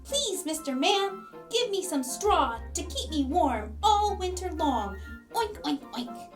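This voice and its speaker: A woman's voice: extremely high pitch, sing-song, slow pace, soft background music, full character voice. A woman voicing a small, cheeky, stubborn piglet in a children's puppet story, oinking between short singsong phrases. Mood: pleading, eager.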